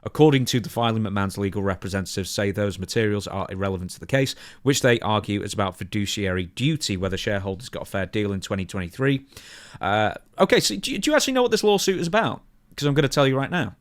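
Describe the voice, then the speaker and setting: A man's voice: lowish voice, expressive, fast talker, no echo. A man co-hosting a podcast, moving between reading out news and casual conversational commentary. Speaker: podcast co-host reading news and asking questions.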